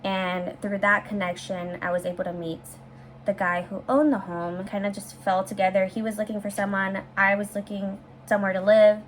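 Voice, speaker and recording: A young woman in her twenties, born and raised in San Francisco, talks through a viewer Q&A in a chatty, upbeat way, with fillers and quick restarts. Her voice sits at an ordinary middle pitch for a woman. A faint heater hum runs under her in a bedroom. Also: little pitch movement. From San Francisco, USA. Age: 20s. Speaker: vlogger. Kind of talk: casual Q&A vlog.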